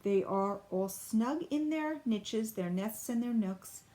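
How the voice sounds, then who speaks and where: A woman reading a children's picture book aloud in an expressive, deliberate storybook style. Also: fairly high pitch. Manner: deliberate, clearly phrased storybook delivery.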